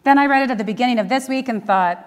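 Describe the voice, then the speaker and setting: A middle-aged woman preaching a conversational sermon with dry humour and deliberate pauses, from a church pulpit. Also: on the high side. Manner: wry, dryly humorous, with deliberate pauses. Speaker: minister preaching. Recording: echoey church hall, long reverb.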